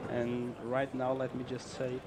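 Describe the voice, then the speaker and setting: Man, halting speech, noisy outdoors. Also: mid-range voice, completely flat delivery. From Portugal. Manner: halting, repeats words, non-native phrasing. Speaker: interview guest, sales promoter. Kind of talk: casual on-camera interview.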